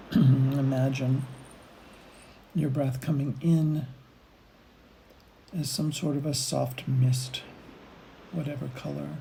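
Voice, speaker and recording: A woman leading a guided meditation, speaking in unhurried phrases with long pauses between them. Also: rumbling low, flat delivery, creaky, dark tone, slight background noise, low-quality recording. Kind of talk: guided meditation, gentle imagery narration.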